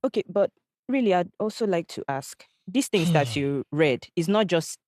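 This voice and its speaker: A woman host calmly asking probing questions in a podcast interview. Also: fairly low pitch, normal speed, dry recording, studio quality.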